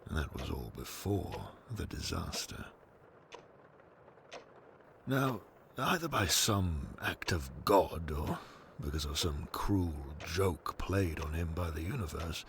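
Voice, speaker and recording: A man with a gravelly voice reading scripted storybook narration for an audio drama in a slow, measured manner. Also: low voice, animated, noisy background.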